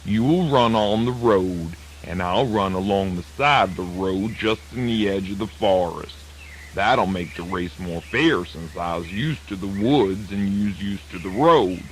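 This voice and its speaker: Sly old terrapin voiced by a male actor, low and very unhurried, courteous Southern drawl, children's radio play with light background noise. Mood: measured, challenging. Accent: Southern American. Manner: deliberate, folksy, courteous. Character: slow, dignified, sly old male terrapin in a folk tale. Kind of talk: children's radio drama acting. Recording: noisy old radio drama recording.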